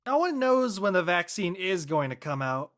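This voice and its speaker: Awkward, evasive teen boy acted by a man, animated pitch, casual drama delivery. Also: normal speed. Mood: matter-of-fact. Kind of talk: casual scripted drama dialogue.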